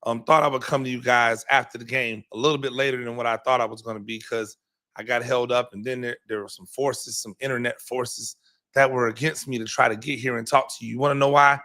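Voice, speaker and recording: A hoarse man gives his postgame football reaction, his voice bright and forceful despite the strain. He rambles, circles back and repeats his words with frequent ums, talking like a coach breaking down a game. His pitch sits in the middle for a man. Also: fairly even intonation, brisk. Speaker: podcast host and high school football coach.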